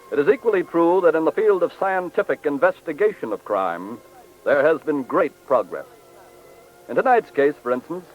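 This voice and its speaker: Male narrator, grave and formal, vintage radio crime series, tinny narrow sound with faint music behind. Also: on the high side. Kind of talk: scripted 1940s radio narration. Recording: tinny, band-limited old radio recording.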